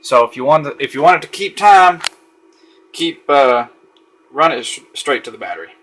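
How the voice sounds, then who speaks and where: A man's voice: on the high side, expressive, normal speed, a bit bright, a little hiss or hum, low-quality recording. A man giving a casual, easygoing walkthrough of a radio at a home desk late at night, thinking aloud with frequent fillers. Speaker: radio reviewer.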